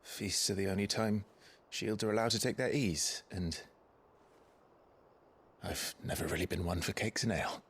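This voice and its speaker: A man's voice: lowish voice, moderate pace, fairly bright tone, breathy edge. An actor playing a modest young nobleman knight in a video game drama, understated and self-deprecating. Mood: reserved.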